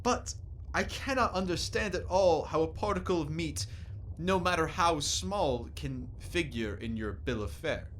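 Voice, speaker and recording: An actor playing a polite, inquisitive professor in a radio play, speaking in a formal, questioning manner. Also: male voice, on the high side, animated, measured pace, a bit bright. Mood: puzzled, inquisitive. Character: polite, inquisitive male professor, a guest aboard. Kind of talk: theatrical radio play acting. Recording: clear background noise, live stage recording.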